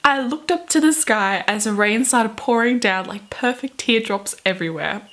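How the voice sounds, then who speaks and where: A woman's voice: a little high, moderate pace, a bit bright. A woman, a chatty, wry book vlogger mixing casual talk with dramatic readings. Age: 20s. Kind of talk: casual chat mixed with dramatic readings of fan fiction. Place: small bedroom, indoors.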